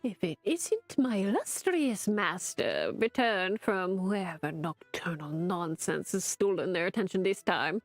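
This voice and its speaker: A woman's voice: on the low side, expressive, measured pace, hardly any pauses, no room sound. Woman putting on a pompous sarcastic spellbook. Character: haughty sarcastic male talking spellbook.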